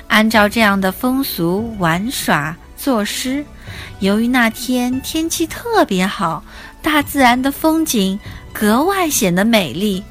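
A woman narrating a scripted children's story as its host, in an expressive, simply explaining way. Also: on the high side. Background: soft music.

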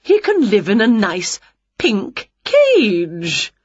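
A fussy, bossy older witch aunt from a children's storybook, acted out by a woman. She scolds slowly and condescendingly, drawing words out with big swoops of pitch. The recording is close and a little dull. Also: huge pitch swings, slightly rough, dry recording, heavily acted. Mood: smug, condescending.

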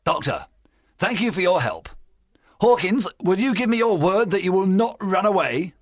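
Wily pirate leader, acted by a male narrator: loud, wheedling, rough-edged, very animated audiobook delivery. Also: high-pitched, moderate pace, dry recording, clean studio sound, voice pushed up. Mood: commanding. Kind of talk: audiobook character acting.

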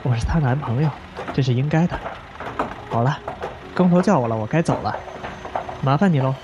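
A man's voice: medium pitch, a little fast, muffled recording, music in the background, close to an everyday voice. A young man playing a busy, caring boyfriend who works on a building site, in an audio drama. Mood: concerned, hurried. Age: young adult. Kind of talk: Chinese audio drama acting.

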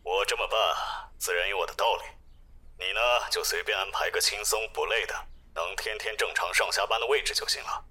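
Husky, forceful smug boss. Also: male voice, lowish voice, fairly even intonation, fairly quick, heavily acted. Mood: pushy, smug. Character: male general manager, smug, pushy office superior. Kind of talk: Chinese audio drama acting.

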